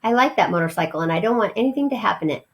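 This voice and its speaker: A woman's voice: mid-range voice, animated, very fast, close to an everyday voice. A friendly young boy from a children's storybook, read by a woman. He is earnest and generous, happy to oblige but a little careful and ready to scold.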